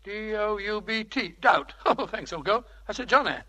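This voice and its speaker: An actor playing an amiable, childlike upper-class English gentleman who stammers and blusters, in a 1940s radio drama. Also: high voice, animated, moderate pace, exaggerated voice. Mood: puzzled, genial. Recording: vintage radio broadcast with rough audio.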